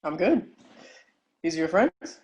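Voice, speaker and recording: A man's voice: fairly high pitch, very animated, measured pace, a little mellow, highs cut off. An actor playing a laid-back, muscular thirty-year-old male hacker in a screenplay table read. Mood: casual, puzzled.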